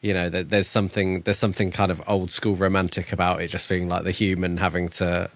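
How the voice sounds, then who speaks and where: A man's voice: sits low, level tone, brisk, dry recording. A man co-hosting a podcast, musing about a book in a hesitant, thoughtful way. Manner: thoughtful, hesitant, trails off and restarts. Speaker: podcast co-host. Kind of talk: casual book discussion.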